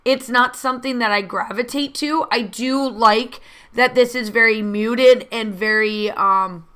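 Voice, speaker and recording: A woman hosting a scrapbooking channel, rambling chattily through a crafting voiceover with many fillers, her voice slightly hoarse from allergies. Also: a little high, a little slow, fairly bright tone. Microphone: voice-over recorded separately from the video.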